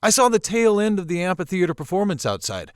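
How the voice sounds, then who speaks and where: A man playing a courteous, wry, quietly mysterious college professor in a visual novel, speaking in a theatrical, measured way. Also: sits high, big pitch swings, smooth, dry recording, studio quality. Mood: concerned. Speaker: professor character.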